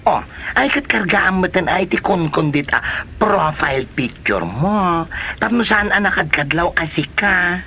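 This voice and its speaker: A woman's voice: lowish voice, very animated, measured pace, bright, forceful tone, a little hiss or hum, full character voice. A woman playing a scheming, gossipy friend in an Ilocano comedy drama. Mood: conspiratorial, urging. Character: scheming, gossipy female friend egging another on.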